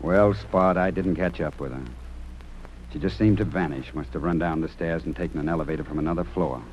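A man's voice: low-pitched, fairly quick, lo-fi sound, faint music behind, close to an everyday voice. An American man acting in an old-time radio drama, playing a stern, impassioned talent judge with earnest, theatrical speechifying. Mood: weary regret. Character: stern, impassioned male music talent judge, remorseful underneath. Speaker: actor. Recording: noisy old radio recording.

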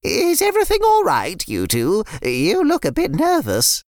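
A woman's voice: mid-range voice, wildly swooping pitch, a little slow, a little mellow, no room sound, studio quality, pitched above the performer's own voice. Woman doing a sweet fussy old nan. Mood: fond. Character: kindly older grandmother, fond of biscuits.

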